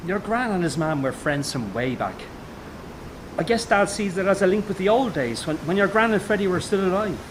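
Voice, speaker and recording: A middle-aged circus boss in a radio drama. He is weary, curt and exasperated, with a voice a little higher than most men's. There is some noise behind him. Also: lively intonation, moderate pace. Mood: evasive, tired. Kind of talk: naturalistic radio drama acting.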